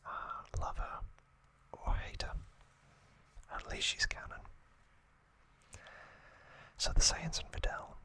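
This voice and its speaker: A man hosting ASMR, giving a hushed, slow recap in short phrases in a breathy, unvoiced whisper. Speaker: ASMR host.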